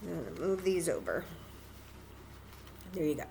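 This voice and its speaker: A woman's voice: sits low, big pitch swings, normal speed, vocal fry, warm tone, slight background noise, poor audio. A woman hosting a children's story time, patiently and encouragingly demonstrating a craft step by step in simple, casual words.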